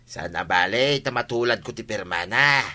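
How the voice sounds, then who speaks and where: A man as a sharp-voiced, grumbling village official. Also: lowish voice, expressive, moderate pace. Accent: Ilocano. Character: exasperated, grumbling male village hall godfather. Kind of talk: Ilocano radio comedy drama acting.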